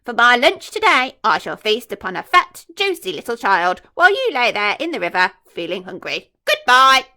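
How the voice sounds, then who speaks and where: A woman's voice: a little high, very animated, moderate pace, hardly any pauses. A woman doing a gloating, boastful giant crocodile. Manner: gloating, sing-song, loud.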